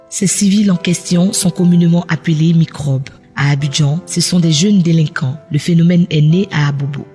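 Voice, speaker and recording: A man narrates a news documentary from a script. His tone is soft-edged and dark, with quiet music underneath. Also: fairly high pitch.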